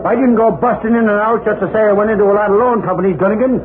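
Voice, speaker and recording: An actor playing an excitable, stubborn Irish newspaper reporter in a blustering 1940s radio style. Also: male voice, high-pitched, very fast, close to an everyday voice. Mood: indignant. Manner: blustering, indignant. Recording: scratchy, noisy, narrowband 1940s radio recording.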